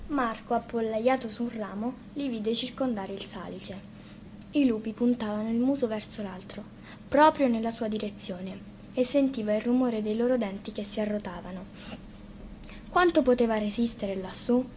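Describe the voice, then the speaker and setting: Woman storyteller reading a fairy tale, highish voice, faint noise behind. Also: moderate pace, darkish tone. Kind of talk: scripted fairy-tale narration. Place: radio studio.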